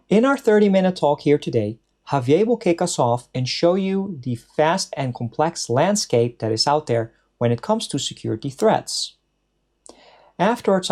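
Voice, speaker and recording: Guy doing the intro of a tech talk, quick and upbeat, middle voice. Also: medium pitch, a little mellow. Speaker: conference speaker.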